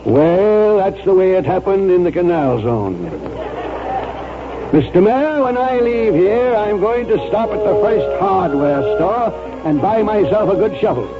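An actor playing a stubborn, pompous retired colonel in his sixties, speaking deliberately in a 1940s radio drama. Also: high voice, expressive. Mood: resolute, orating. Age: 60s or older. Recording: dull vintage radio broadcast, faint music.

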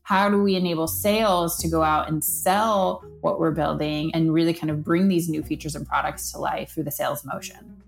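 A woman's voice: low voice, steady tone, moderate pace, vocal fry, faint music behind. A woman giving casual, conversational interview answers about her work as a marketing manager.

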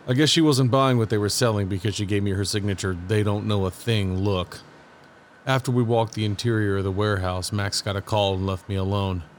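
A composed male investigator played by a man with a fairly low voice. He tells his story in terse, matter-of-fact audio drama narration, over faint ambient scene noise. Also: steady tone, brisk, natural voice. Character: composed male federal agent investigating a warehouse blast. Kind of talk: first-person audio drama narration and dialogue.

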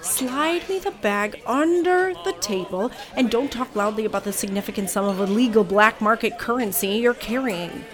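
A woman's voice: on the high side, moderate pace, hardly any pauses, music in the background, natural voice. A woman voicing a brusque, impatient young woman making a secret deal in an audio drama. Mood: curt. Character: curt, scheming young woman on the run.

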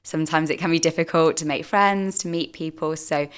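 A young woman from the UK talks as a guest on a casual podcast, lively and eager to promote her club. Her voice sits low and comes across smooth and resonant, though a little sore and tired. She speaks at length with friendly enthusiasm. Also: brisk. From United Kingdom. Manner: upbeat, talkative, promotional. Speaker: football club co-founder, guest.

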